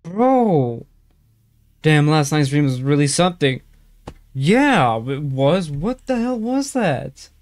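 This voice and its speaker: Young male streamer, slightly high voice, slow nervous delivery, strained throat, dry close headset sound. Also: big pitch swings, a little mellow, dry recording. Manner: jumpy, nervous exclamations, keeps calling viewers bro. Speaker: gaming streamer. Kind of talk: horror game playthrough commentary. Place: small room indoors.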